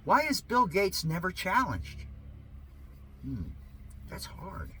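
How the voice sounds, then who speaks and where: Theatrical, sarcastic man reading aloud, swooping pitch. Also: huge pitch swings, sharp tone, husky, poor audio. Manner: theatrical, mock-questioning, with drawn-out emphasis and muttered asides. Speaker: amateur reader of an article. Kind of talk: sarcastic dramatic read-aloud with asides.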